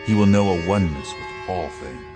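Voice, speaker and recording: A man's voice: low voice, normal speed, over music, natural voice. A man voicing a commanding, persuasive inventor father in a radio drama. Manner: commanding, coaxing. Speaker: scientist.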